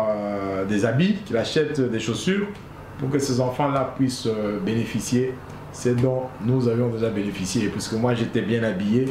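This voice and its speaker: A man from Congo tells his story as an interview guest, earnest and halting, often repeating himself. His voice sits at an ordinary pitch. It sounds like an echoey room with a faint hiss underneath. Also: darkish tone. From Congo (DRC). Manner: earnest, repeats phrases, many hesitations. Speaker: guest, association founder. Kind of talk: interview, telling a personal story.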